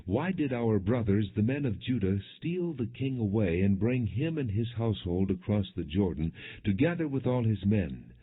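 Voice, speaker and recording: A man's voice: on the low side, sing-song, moderate pace, hardly any pauses, slightly rough. A man reading the words of proud, quarrelsome tribesmen in a challenging way for a scripture audiobook. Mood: indignant. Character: indignant men of Israel, proud and quarrelsome. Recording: dull, muffled lo-fi audio.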